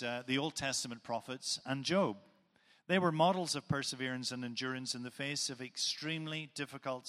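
A middle-aged man from Northern Ireland with a Northern Irish accent, preaching a sermon in a measured, explanatory way. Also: medium pitch, sharp tone. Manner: measured, explanatory, with occasional fillers and restarts. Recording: long hall reverb.

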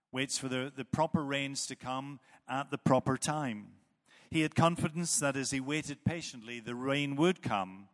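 A middle-aged man from Northern Ireland with a Northern Irish accent, preaching a sermon in a measured, explanatory way. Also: medium pitch, fairly bright tone. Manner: measured, explanatory, with occasional fillers and restarts. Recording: long hall reverb.

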